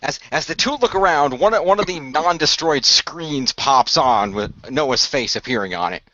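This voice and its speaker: A man narrating an amateur radio play in a halting way, often repeating the start of his phrases. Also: fairly high pitch, expressive, bright, forceful tone, no room sound, dull audio.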